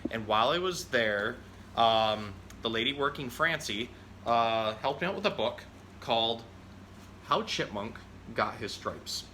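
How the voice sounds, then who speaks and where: A man hosts a children's animal storytime in the role of a zookeeper. His voice is bright and forceful, and he explains things conversationally, moving between casual teaching and reading aloud. Faint noise sits behind him. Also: medium pitch, lively intonation, unhurried.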